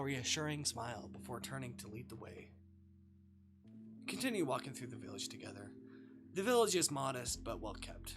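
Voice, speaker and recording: A playful, expressive streamer reading a visual novel aloud and reacting live, acting out the dialogue. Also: medium pitch. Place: live stream with game music behind.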